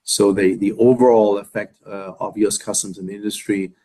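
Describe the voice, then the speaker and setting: A man's voice: lowish voice, steady tone, a little fast, warm tone. A man speaking as a company executive on an earnings call, answering in broken phrases with many repeated words.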